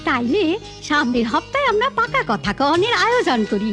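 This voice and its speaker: A woman's voice: high voice, sing-song, normal speed, a bit bright, faint music behind. A woman playing a practical, helpful village matron in a cartoon, with a rural Bangladeshi accent. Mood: practical, agreeable. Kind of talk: cartoon voice acting.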